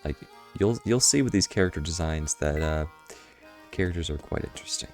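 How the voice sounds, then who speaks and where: A man streaming a puzzle game, his voice a bit hoarse, rambling through casual commentary with chuckling asides. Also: extremely low pitch, lively intonation, a little fast, a little mellow, faint music behind.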